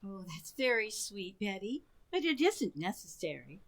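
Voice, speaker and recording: A woman's voice: mid-range voice, very animated, normal speed, no echo. A woman playing a patient, dryly sarcastic housewife and mother in her thirties in an old-time radio sitcom. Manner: dry, gently sarcastic.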